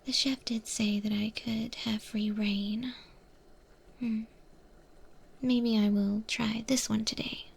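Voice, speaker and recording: Woman playing a whispering, unhurried monster-girl cook. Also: on the high side, flat delivery, exaggerated voice. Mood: calm, musing. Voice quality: breathy, whispered. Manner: patient, gently instructive, unhurried. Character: eldritch monster woman cook, patiently training a new recruit. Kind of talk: whispered ASMR roleplay.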